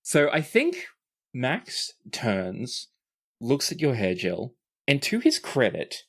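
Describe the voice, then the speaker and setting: Casual game master, mid-pitched voice, sweary. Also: wildly swooping pitch, takes it slowly. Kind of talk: narrating a tabletop role-playing game.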